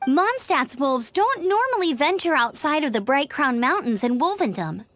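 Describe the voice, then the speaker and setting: A woman's voice: sits high, big pitch swings, normal speed, a bit bright, soft background music. A woman playing a perky, friendly young scout knight in a video game. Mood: earnest, explaining. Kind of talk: video game character voice acting.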